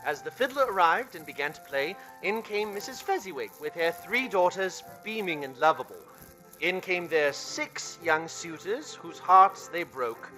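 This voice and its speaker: Man as a bright, high-voiced storyteller. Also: big pitch swings, normal speed, faint music behind, full character voice, low-quality recording. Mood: warm storytelling. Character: earnest, animated storyteller narrator of Christmas tale. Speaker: narrator. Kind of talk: storybook narration in a radio play. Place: theater stage.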